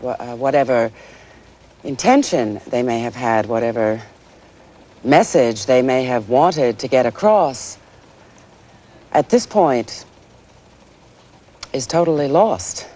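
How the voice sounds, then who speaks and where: A woman playing a controlled network news anchor, serious and deliberate, with occasional halting phrases. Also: rumbling low, expressive, darkish tone, heavily acted. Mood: serious, tense.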